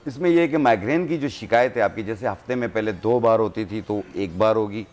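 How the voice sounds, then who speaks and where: Calm, instructive man with a lowish voice. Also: fairly quick. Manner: calm, instructive, gives home remedies step by step. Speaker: herbalist guest. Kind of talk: studio talk show advice.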